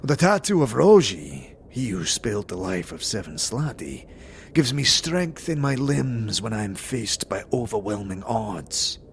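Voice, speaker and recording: A man's voice: lowish voice, sing-song, measured pace. A man voicing a proud, scarred alien soldier who speaks in grave, formal, archaic phrases in a fantasy roleplay. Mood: solemn, reverent. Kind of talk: tabletop-style fantasy roleplay. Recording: faint background noise.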